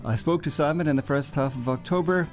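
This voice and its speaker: A man's voice: a little high, fast talker, soft background music. A man hosting a podcast, asking polite, precisely phrased questions and reading the outro. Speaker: podcast host, interviewer. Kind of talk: interview questions and scripted outro.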